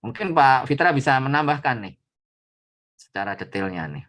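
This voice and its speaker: A man, a guest lecturer answering questions informally in a hesitant, explanatory way with softening tags. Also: mid-range voice, sing-song, moderate pace. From Indonesia. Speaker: trade attaché, guest lecturer.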